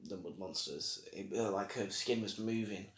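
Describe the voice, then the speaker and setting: A man's voice: fairly low pitch, normal speed. A man co-hosting a casual review podcast, sarcastic, swearing and trailing off mid-thought. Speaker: podcast co-host. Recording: echoey room.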